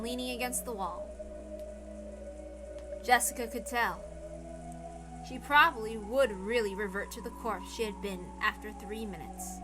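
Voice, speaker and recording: A woman reading a story aloud as narrator, in dramatic narration. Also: fairly high pitch. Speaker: reader, narrator. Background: music.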